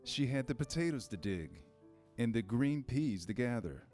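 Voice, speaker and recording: Guy reading a kids story out loud, mid-pitched voice, some music behind. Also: expressive, normal speed. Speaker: narrator in a church reader's theater. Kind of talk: scripted storybook narration.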